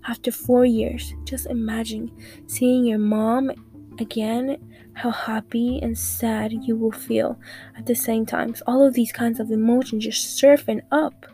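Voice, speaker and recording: A woman's voice: a little high, level tone, unhurried, mellow, faint music behind. A teenage girl hosting a student podcast, reading an introduction and asking questions with frequent "like" fillers. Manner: reads intro, prompts with "like" fillers. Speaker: podcast host.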